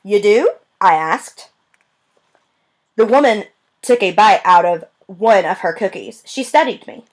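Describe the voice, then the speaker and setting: A woman reading a children's novel aloud with expressive storytelling. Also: mid-range voice, unhurried, pauses often. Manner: expressive storytelling with lively voice changes. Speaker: reader.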